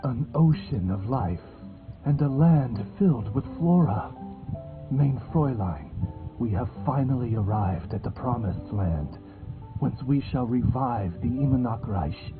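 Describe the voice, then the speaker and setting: A man's voice: mid-range voice, expressive, unhurried, close to an everyday voice. A man voicing a formal, devoted familiar serving a lady in a video game, with a courtly, archaic manner. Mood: solemn. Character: formal, devoted talking familiar, male, servant. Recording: thin phone-like sound with music behind.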